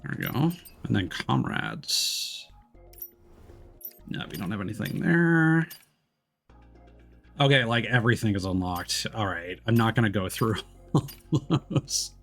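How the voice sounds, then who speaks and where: A man's voice: lowish voice, expressive, a little slow, vocal fry, pauses often, fairly bright tone. A man giving laid-back, noncommittal commentary while livestreaming a video game. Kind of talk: casual gameplay commentary. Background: game music.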